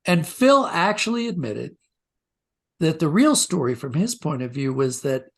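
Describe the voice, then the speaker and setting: A man's voice: on the high side, big pitch swings, a little slow, vocal fry. An older man with an American accent telling anecdotes conversationally as an interview guest, reflective and self-deprecating.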